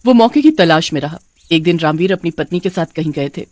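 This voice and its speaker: A woman's voice: fairly low pitch, expressive, fairly quick, darkish tone, no room sound. A woman narrator reading a scripted cartoon story.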